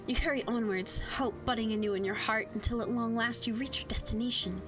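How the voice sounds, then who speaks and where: A woman reading as a visual novel's narrator in a measured, literary, wry and gently ominous storytelling manner. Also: fairly high pitch, steady tone, natural voice. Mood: wry, foreboding. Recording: music under voice.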